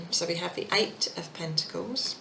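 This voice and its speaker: A woman giving a casual tarot reading, thinking aloud with many fillers, her voice croaky and husky. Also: on the low side, expressive, a little slow, bright, forceful tone, slight background noise, rough recording. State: croaky voice, clearing throat. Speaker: tarot reader.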